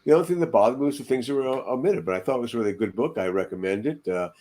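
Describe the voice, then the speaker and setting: An older man chats on a podcast. He talks quickly in a mid-range voice. His delivery is informal and opinionated. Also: mid-range voice, animated, warm tone. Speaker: podcast co-host. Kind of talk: casual opinionated chat. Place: indoor room, on a video call.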